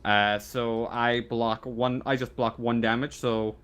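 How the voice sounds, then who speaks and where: Man, tabletop game master, improvised narration, halting and stammering, casual and informal phrasing. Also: mid-range voice, little pitch movement, fairly bright tone, clear, resonant tone.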